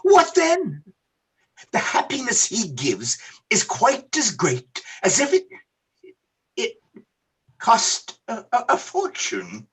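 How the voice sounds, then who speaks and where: A woman playing an old man in a radio play, wistful and moved by his past, in a husky, exclaiming, dramatic voice. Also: fairly high pitch, wildly swooping pitch, takes it slowly, long pauses, heavily acted. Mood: earnest.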